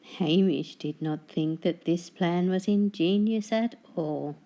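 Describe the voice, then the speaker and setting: A woman reading a children's storybook aloud as narrator, with deliberate, dramatic phrasing. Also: on the low side, dark tone.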